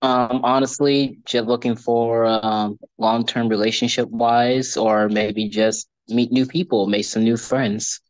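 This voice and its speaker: A man's voice: medium pitch, little pitch movement, slow, few pauses, dry recording, rough recording. A man in his early twenties giving casual, hesitant answers as a guest on a video call. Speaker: dating show guest. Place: room at home, video call.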